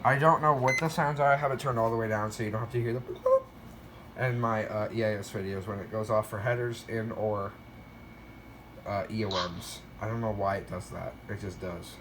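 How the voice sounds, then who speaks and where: A man's voice: on the low side, fairly even intonation, a little fast, noisy background. A man giving a casual show-and-tell narration in a hesitant, rambling way, often correcting himself. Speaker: video creator showing a weather radio collection.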